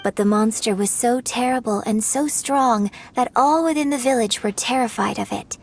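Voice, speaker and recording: Casting: a graceful opera singer, higher voice, theatrical telling. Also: female voice, vocal fry, darkish tone, a little hiss or hum. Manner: measured, theatrical storytelling. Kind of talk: game voice acting.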